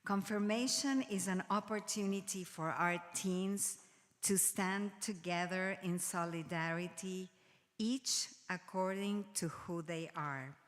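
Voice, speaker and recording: A woman leading a worship service, speaking in a slow, measured way. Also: on the low side, long reverb tail. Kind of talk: formal address at a service.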